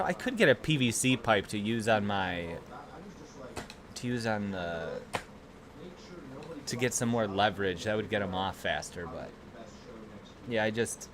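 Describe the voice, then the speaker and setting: A man's voice: fairly low pitch, animated, a little slow, long pauses, crowd noise. A young man with a Chicago accent, hosting a casual livestream from a small room at home and telling rambling, profane stories to his chat. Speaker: livestream host.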